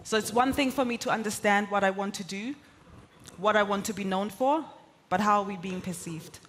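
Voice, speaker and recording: Woman speaker, german is her first language, mid pitch, earnest keynote, stacks up points, bit of faint hiss. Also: moderate pace, fairly bright tone. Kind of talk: motivational conference talk.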